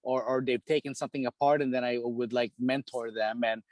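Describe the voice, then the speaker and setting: A man's voice: mid-range voice, steady tone, a little fast, no echo, studio quality. A man from the Philippines, a hockey repair shop owner, chatting casually as a podcast guest, rambling with lots of "dude" and "you know".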